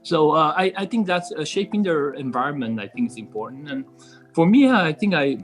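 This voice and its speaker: A middle-aged man from Taiwan speaking as a podcast guest in casual conversation, earnest and halting, rephrasing as he goes. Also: a little high, lively intonation, faint music behind.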